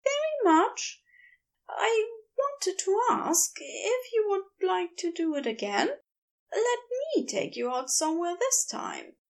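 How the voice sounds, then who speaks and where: A woman's voice: very high voice, big pitch swings, slow, creaky, voice pushed up, heavily acted. A woman voicing a polite, fussy, hopeful man with a prim, hesitant way of talking in an audiobook story. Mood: nervous, hopeful. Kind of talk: audiobook character voice.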